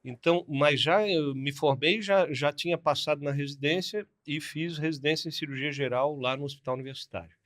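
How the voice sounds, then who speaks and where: Southern brazilian guy in his 50s, bit high voice, easygoing storyteller, laughs a lot. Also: a little fast, few pauses, a bit bright. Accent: southern Brazilian Portuguese. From Florianópolis, southern Brazil. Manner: chatty, laid-back, laughs easily. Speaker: guest, plastic surgeon. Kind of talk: casual interview storytelling.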